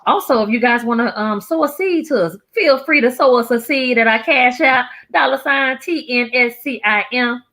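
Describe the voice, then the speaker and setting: A woman's voice: fairly high pitch, moderate pace, hardly any pauses, bright, forceful tone. A woman hosting a casual, upbeat talk show, speaking in an effusive, encouraging way. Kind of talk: casual upbeat interview chat.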